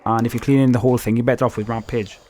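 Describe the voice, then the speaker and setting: Man, Welsh accent, mid pitch, casual game commentary, chatty, explanatory, home mic voice-over. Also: steady tone, racing, a little mellow, a little hiss or hum. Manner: chatty, explanatory, with fillers and mild swearing. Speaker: gaming YouTuber.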